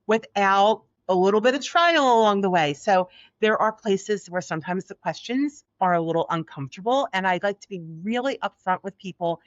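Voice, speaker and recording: A woman's voice: mid-range voice, animated, normal speed, dry recording. Soothing Philly-accented woman, lo-fi sound. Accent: Philadelphia. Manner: earnest, encouraging. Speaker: guest. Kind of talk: podcast interview. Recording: dull, dry lo-fi audio.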